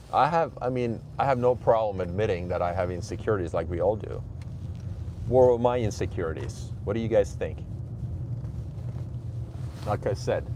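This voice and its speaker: A man's voice: low-pitched, warm tone. A Finnish man in his forties, chatting candidly and giving motivational talk on a live stream from his car. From Finland. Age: 40s. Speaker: livestream host. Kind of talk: casual live-stream chat, reading chat aloud. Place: car, driving to his office. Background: vehicle noise.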